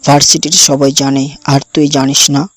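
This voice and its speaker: A man's voice: on the high side, flat delivery, moderate pace, fairly bright tone, no room sound. A man playing a menacing, jealous young college rival in an audio drama. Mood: threatening.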